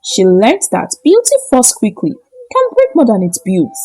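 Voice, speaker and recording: A woman narrating a scripted moral folktale in short, deliberate, dramatic phrases. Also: fairly high pitch, wildly swooping pitch, warm tone, breathy edge, faint music behind, rough recording. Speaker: storyteller.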